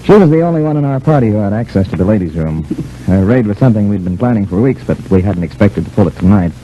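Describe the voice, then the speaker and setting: An actor playing an assured, kindly lawman in an old-time radio serial, with an avuncular, measured manner. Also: lowish voice, expressive, mellow, natural voice. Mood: assured, amused. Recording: muffled, hissy old radio recording.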